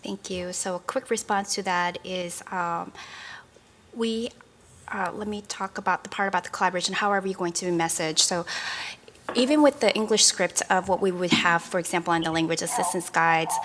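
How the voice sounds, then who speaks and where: A woman presenting for a government program, answering questions at a meeting in a measured, explanatory official tone. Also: lowish voice, fairly bright tone, slight background noise. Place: meeting room.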